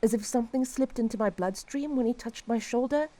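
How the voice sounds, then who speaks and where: Mellow, despairing woman confessing old guilt acted. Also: a little high, fairly quick, natural voice. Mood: regretful, defeated. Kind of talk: dramatic audio fiction acting.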